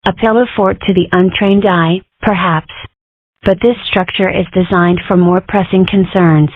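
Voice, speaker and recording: A woman's voice: on the low side, fairly even intonation, moderate pace, no echo, close to an everyday voice. A mischievous, self-important young fox girl from a cartoon comic dub, acted by a woman. She speaks in theatrical declarations, full of herself. The recording is thin and narrow like a phone line, with no room sound. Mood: grandiose. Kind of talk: amateur comic dub character acting. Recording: muffled, tinny phone-like audio.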